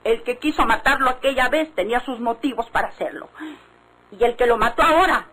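A woman's voice: on the high side, fairly quick, a bit bright. An actress playing a stubborn, suspicious village woman in a vintage Mexican radio drama, with a Mexican accent. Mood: insistent. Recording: faintly noisy old radio recording.

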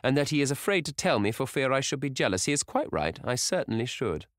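A man voicing an excitable elderly society hostess who gushes and scolds in high exclamations. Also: fast, dry recording, studio quality, close to an everyday voice. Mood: scolding. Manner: gushing, scolding, shrill exclamations.

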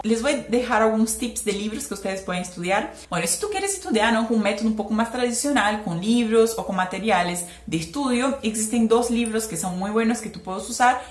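A young woman from Brazil, speaking with a Brazilian Portuguese accent, explains language-learning tips in a chatty, casual vlog with many "eh" fillers. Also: on the high side. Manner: chatty, explains with hand gestures, frequent "eh" fillers. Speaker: language vlogger. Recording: echoey room.

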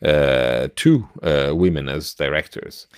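A middle-aged man co-hosting a podcast in casual discussion, haltingly searching for words into a close microphone. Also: a bass voice, clear, resonant tone, no echo. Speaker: podcast co-host. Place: small room at home, indoors, video call. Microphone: close podcast microphone with headset.

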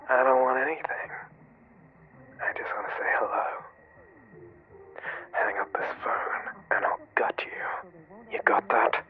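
A man playing an obsessed serial killer in a horror ASMR roleplay, coaxing and menacing in a soft, often whispered voice with false friendliness. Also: mid-range voice, narrow, tinny audio. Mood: quietly threatening. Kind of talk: ASMR horror roleplay, 90s slasher pastiche.

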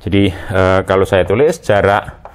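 A man in his sixties with an Indonesian accent, lecturing at a chalkboard in a halting way, with many hesitations and check words. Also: low voice, background noise. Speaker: university physics lecturer. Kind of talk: chalkboard lecture, explaining as he writes. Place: indoor room in front of chalkboards.

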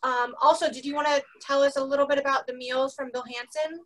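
A woman hosts an online session in casual, joking talk. Her voice is fairly high and very bright, with a crisp forward edge. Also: fairly even intonation, fast, slight background noise. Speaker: co-host of an online cooking series. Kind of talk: casual hosting chat.

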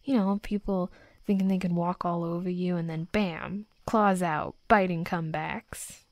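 A woman playing a hot-headed, flustered young female werewolf, a softening bully, in an intimate roleplay audio drama, speaking haltingly and defensively. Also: fairly low pitch, steady tone, no room sound, natural voice. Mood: apologetic, vulnerable. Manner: halting, defensive, teasing, often dropping to soft murmurs.

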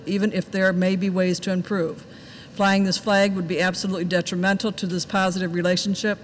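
A woman in her fifties, a village trustee, reading public comments aloud in a steady, plain voice at a desk microphone in a large meeting hall. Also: medium pitch. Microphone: desk microphone at some distance. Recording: reverberant hall, faint background noise.

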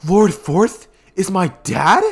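A man voicing a timid, emotional young orphan boy in a casual spoken fan story, pitched up and pleading. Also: moderate pace, a bit bright, clean tone. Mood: shocked.

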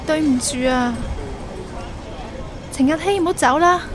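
A woman playing a gentle, apologetic young woman in a radio drama, soft and hesitant, with a Hong Kong Cantonese accent. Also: high-pitched, measured pace, reverberant, very noisy. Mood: guilty.

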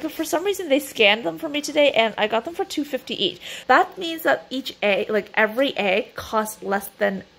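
A woman's voice: a little high, fairly bright tone. A woman in her thirties from Canada, chatting in an upbeat way in her home kitchen as she shows her groceries. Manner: chatty and upbeat, lots of "um" and "I mean". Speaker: vlogger showing a grocery haul. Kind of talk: casual chat.

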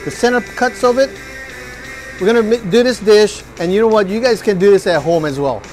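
A chef in his forties talks viewers through his recipes in a restaurant kitchen. His voice sits high, and he keeps an upbeat, hyped-up tone. Faint music plays underneath. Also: brisk, darkish tone, low-quality recording. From Hawaii. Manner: upbeat, hypes the food to viewers. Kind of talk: cooking demonstration.

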